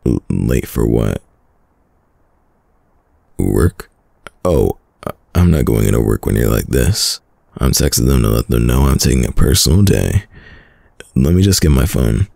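A caring boyfriend acted by a man speaks softly in an ASMR roleplay, close and very dry. The voice is heavily fried and husky, rising and dipping expressively as he gently frets and soothes. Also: expressive, no room sound, full character voice. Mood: firm, tender. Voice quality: deep, gravelly, husky. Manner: soft, slow, soothing, hesitant. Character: caring, worried, doting young boyfriend. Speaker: audio roleplay voice actor.

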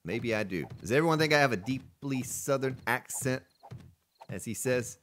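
A man from Florida talks on his live game stream in a relaxed, rambling way. He keeps answering viewers' comments as he plays. His voice is mid-pitched and rises and falls a lot. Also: normal speed, slight background noise. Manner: chatty, answers viewer chat between gameplay remarks. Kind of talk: casual live-stream chat while playing.

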